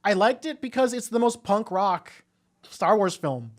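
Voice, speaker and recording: A man's voice: high voice, very animated, a little slow. A man co-hosting a podcast in casual discussion, speaking in an informal, opinionated way. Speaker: podcast co-host.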